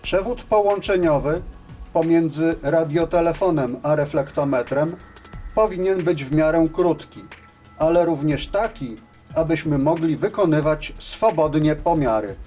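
A man's voice: fairly high pitch, measured pace, mellow, soft background music. A man giving scripted, methodical and matter-of-fact instructional narration as the presenter of a technical tutorial.

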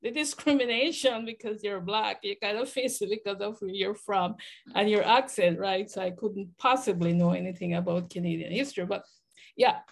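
Woman, Jamaican accent, middle-aged, animated, reflective, video call from home. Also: medium pitch, moderate pace, vocal fry, rough recording. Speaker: panelist. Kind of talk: reflective personal account on a panel. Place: home room, indoors. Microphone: laptop webcam video call.

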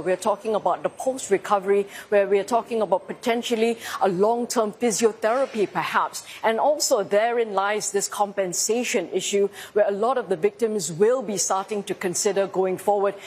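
A woman in her forties, a field reporter, speaking live from a hospital lobby in a measured, articulate news style. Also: medium pitch, slight background noise. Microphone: lapel mic.

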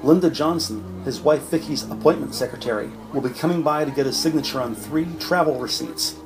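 A man narrating a crime audio drama from a script, measured and descriptive. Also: fairly high pitch, noisy background. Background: music.

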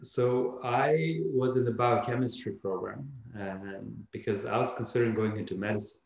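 A man from Albania in his thirties, chatting casually on a video call with relaxed chuckles and many fillers and restarts. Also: lowish voice, moderate pace, gravelly, highs cut off. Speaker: guest, translation company founder. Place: bright home office. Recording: echoey room on video call.

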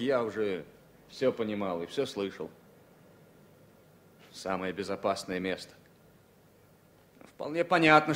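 An actor playing a shell-shocked former pilot, wry, grumbling and resigned, halting and ironic in a radio drama. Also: male voice, mid-range voice, a bit bright. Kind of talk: 1970 Soviet radio drama acting.

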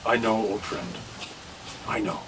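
An older man acting in a radio drama as an anxious, grave reverend with a deliberate, formal way of talking. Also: on the low side, steady tone, close to an everyday voice. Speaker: radio play actor. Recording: distant, noisy video call audio.

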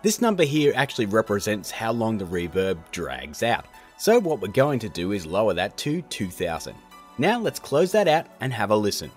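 A man hosting a software tutorial in a casual, chatty, jokey narrating style. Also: on the low side, very animated, moderate pace, soft background music. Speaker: tutorial host.

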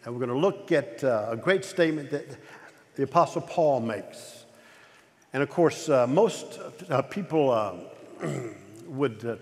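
A man's voice: mid-range voice, expressive, unhurried. A middle-aged man preaching a sermon at a pulpit in a large church auditorium, talking with a stutter, frequent repeats and restarts. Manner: gestures broadly at a pulpit. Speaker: pastor. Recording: long reverb of a large hall.

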